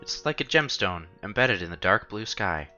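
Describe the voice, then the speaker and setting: A man's voice: on the low side, expressive, moderate pace, sharp tone, lo-fi sound, faint music behind. A man narrating a visual novel aloud as a streamer, expressively and acting out the dialogue.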